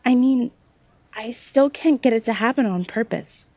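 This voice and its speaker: A woman playing a hesitant, self-doubting young psychic in a naturalistic audio drama, speaking in halting phrases. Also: a little high, mellow, no echo. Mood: unsure, explaining.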